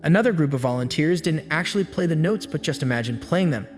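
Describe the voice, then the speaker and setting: A man giving scripted self-help narration with an even, steady storytelling delivery. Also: a little high, fast talker. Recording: long hall reverb with faint music. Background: faint background music.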